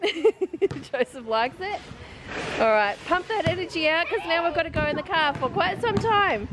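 A woman in her forties with an Australian accent, chatting excitedly and laughing as she films a shopping trip on a handheld camera inside a big warehouse store. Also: very high voice, moderate pace, noisy background. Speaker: family vlogger. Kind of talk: casual vlog narration while shopping. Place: large warehouse store indoors.

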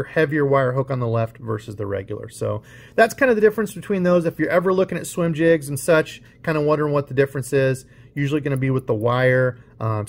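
A man with a middle-range voice talks straight to the viewer while his hands show off new fishing tackle. He is chatty and relaxed and explains the gear as he goes, recorded on a close mic with the speaker off camera. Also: mid-range voice. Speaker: fishing channel host. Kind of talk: casual unboxing show-and-tell.

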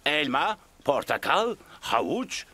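A man's voice: a little high, sing-song, takes it slowly, sharp tone, a little hiss or hum, exaggerated voice. A man voicing a cheerful potato who presents a children's television fitness show in an exuberant, encouraging way. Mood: enthusiastic. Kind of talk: children's cartoon dubbing. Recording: music behind the voice.

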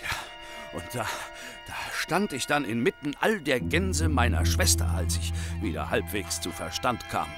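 An actor playing a nervous, desperate young thief in a radio play, anxious, stammering and breathlessly pleading. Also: male voice, mid-range voice, sing-song, measured pace, hardly any pauses, loud music behind the voice. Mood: shaken, confessing. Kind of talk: German radio play acting.

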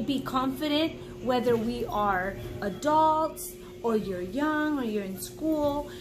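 Woman in her forties, high voice, slow pace, children's read-aloud, encouraging teacher, questions to the listener. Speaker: reading teacher. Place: small indoor room at home.

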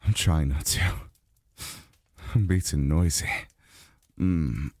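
A man playing a weary, tender immortal lover in an intimate ASMR roleplay, murmuring haltingly with sighs, close to the microphone. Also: darkish tone, no echo, exaggerated voice. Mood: tender, drowsy. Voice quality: very deep, gravelly, breathy. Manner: halting, murmured, sighing delivery. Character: weary, tender adult male immortal being soothed by a lover. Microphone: close dry microphone.

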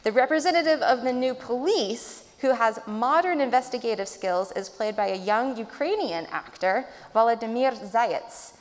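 A woman's voice: on the high side, expressive, normal speed, few pauses. A woman speaking as a panelist at an academic discussion, thoughtful and hedging with frequent ums, through a face mask. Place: large auditorium stage. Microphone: table mic, wearing a mask. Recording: long hall reverb.

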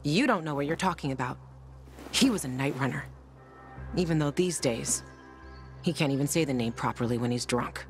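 A tough young woman fighter from a video game, blunt and wry, swearing freely but loyal. Her voice sits a little low and moves with lively intonation over music. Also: normal speed, long pauses. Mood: defiant. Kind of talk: video game dialogue acting.

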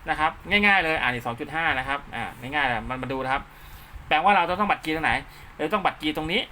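A man's voice: on the high side, expressive, fast, bright, forceful tone, a little hiss or hum. A man giving an informal hands-on tutorial step by step, often checking that the listener follows. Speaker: instructor, channel host.

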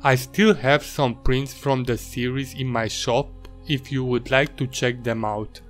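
A young adult man from Transylvania, a native Hungarian speaker with a Hungarian accent, narrating his illustration process in measured, slightly halting English. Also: mid-range voice, fairly even intonation, faint music behind. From Romania, Transylvania. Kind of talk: voice-over narration.

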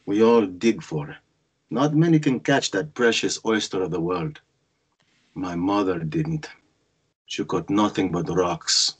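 A man acting a shy, awkward, dutiful son in a screenplay read, with a husky voice and halting delivery. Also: low-pitched, heavily acted. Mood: wistful. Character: Constantine, shy, awkward, dutiful young son and budding actor. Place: indoors on a group video call. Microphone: video call.

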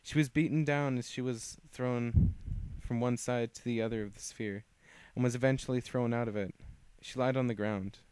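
A young man reads his homemade fan fiction aloud, stumbling and restarting on words as he goes. His voice is clear and smooth, at an ordinary middle pitch. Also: fairly even intonation, darkish tone, dry recording. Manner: halting reading with frequent restarts. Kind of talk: reading his own fan story aloud.

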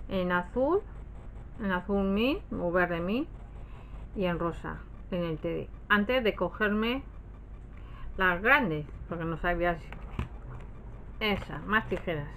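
A woman's voice: medium pitch, animated, slow pace, pauses often, fairly bright tone, a little hiss or hum, low-quality recording. A woman with an accent from Spain, chatting casually and rambling as she shows her purchases, often trailing off and correcting herself. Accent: Spanish from Spain. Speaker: craft haul vlogger. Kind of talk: casual chat, showing purchases to camera.

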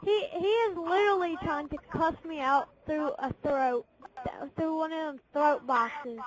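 A woman's voice: high voice, measured pace, a crowd in the background. A woman chatting casually and bluntly in an online game party, scolding other players over a noisy headset connection. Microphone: game console party chat.

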